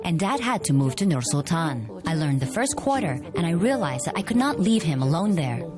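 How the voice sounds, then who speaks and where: Low, lively woman reading voice-over. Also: a little fast. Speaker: voice-over translator. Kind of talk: scripted documentary voice-over. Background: music.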